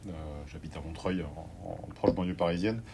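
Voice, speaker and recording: A man in his forties from southwest France, the guest, talking through his career slowly with many fillers and restarts. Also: sits low, vocal fry, mellow, slight background noise. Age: 40s. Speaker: organic grocery owner, guest. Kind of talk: conversational interview.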